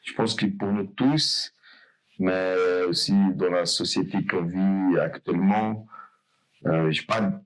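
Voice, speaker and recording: A man's voice: deep voice, poor audio. A man hosting a podcast interview, talking loosely and rambling, often correcting himself. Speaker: podcast host.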